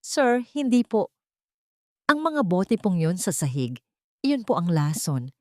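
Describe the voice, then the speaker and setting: A woman voicing a loyal, frightened housemaid who pleads in hurried, broken phrases in an audio drama. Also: fairly low pitch, big pitch swings, no room sound, clean studio sound. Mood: fearful pleading.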